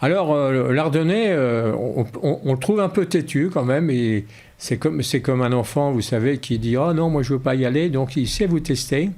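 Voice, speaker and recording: A man's voice: mid-range voice, expressive, normal speed, a little mellow. A man being interviewed in a casual way, repeating words as he searches for his phrasing. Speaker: interview guest, horse-drawn carriage driver.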